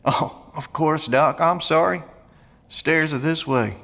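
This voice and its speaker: An actor playing a blunt, friendly survivalist in an audio drama, with a folksy, gravelly drawl. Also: male voice, on the high side, slow, a bit bright, exaggerated voice. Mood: friendly, apologetic. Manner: folksy, colloquial, plain-spoken. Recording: long echoey reverb of an empty hall.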